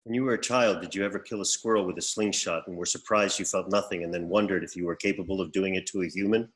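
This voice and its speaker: Male actor as formal interrogator for sitcom read. Low-leaning pitch, deadpan, stern, procedural. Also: fast talker, natural voice. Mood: stern, probing. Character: stern formal male interrogator running lie-detector questioning. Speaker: actor at a virtual table read.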